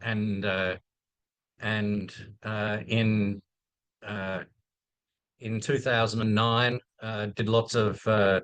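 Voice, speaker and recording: A man's voice: fairly low pitch, little pitch movement, drawn-out words, pauses often, a bit bright, clean tone, no room sound. Hesitant Australian man, dry close sound. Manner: hesitant, many fillers and restarts. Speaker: infectious disease physician, program director. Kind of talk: unscripted talk in a webinar. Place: video call.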